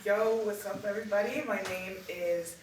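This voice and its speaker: Brief: upbeat young adult, slow delivery, far off in a loud, noisy room. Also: medium pitch, fairly even intonation. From Ottawa, Canada. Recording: distant, echoey, very noisy room.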